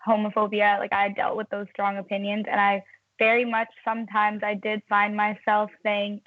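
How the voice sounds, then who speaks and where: A woman's voice: mid-range voice, completely flat delivery, moderate pace, no echo. A woman from India, English not her first language, talking earnestly as a guest. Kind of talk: casual conversation. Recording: tinny phone line.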